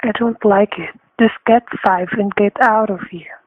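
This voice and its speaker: A woman's voice: medium pitch, steady tone, unhurried, no echo, lightly acted. A woman playing a menacing, suspicious gunman in a radio thriller, curt and commanding, on a narrow-band recording. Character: menacing, suspicious male gunman holding a couple hostage.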